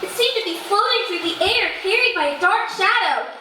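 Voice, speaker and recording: A young woman playing a lonely, gentle girl who brings bad luck, speaking shyly and earnestly in a live stage play. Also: extremely high pitch, animated, brisk. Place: theater stage. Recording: distant, echoey stage recording with noise.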